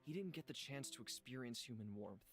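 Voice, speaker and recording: An actor playing a gentle ancient god living in a human body, speaking in a measured, reflective way for a visual novel. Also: male voice, medium pitch, animated, lightly acted. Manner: measured, solemn, reflective storytelling. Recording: music behind the voice. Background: instrumental music.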